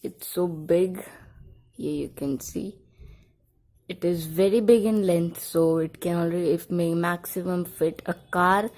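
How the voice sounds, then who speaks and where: A woman with a mellow, slightly low voice presents a casual product demonstration. She talks in brief, simple phrases and often repeats them as she points things out. The tone is relaxed and dark rather than sharp. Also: measured pace, low-quality recording. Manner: simple, repetitive short phrases while demonstrating. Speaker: product review vlogger.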